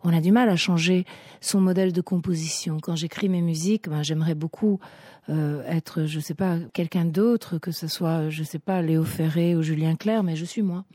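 Woman around fifty, husky mellow voice, low-pitched, dry studio sound. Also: steady tone, no echo. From Italy. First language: Italian. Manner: soft, thoughtful, pausing to search for words. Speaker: singer and guest. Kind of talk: reflective interview talk.

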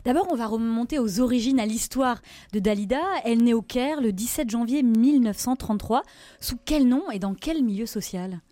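A woman co-hosting a French radio show, welcoming listeners and leading the topic in a lively presenting manner. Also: on the high side, normal speed, dry recording. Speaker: radio co-host.

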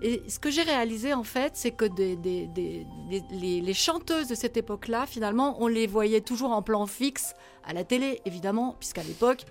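A woman's voice: on the high side, animated, normal speed, soft background music. A woman, a magazine editor, reviewing a film on radio in a thoughtful, critical way, with occasional stammering.

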